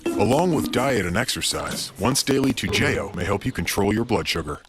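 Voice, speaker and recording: Male voice-over, low, smooth, over clear music. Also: very animated, fairly quick. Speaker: announcer. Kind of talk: ad voice-over with fast disclaimers. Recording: music behind.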